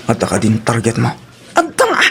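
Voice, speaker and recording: A man with a Filipino accent playing a wayward, wheedling young student son with a creaky voice in an audio drama. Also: mid-range voice, huge pitch swings, normal speed, a bit bright, full character voice. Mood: rowdy, scheming. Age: young adult. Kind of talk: Ilocano radio-style drama acting.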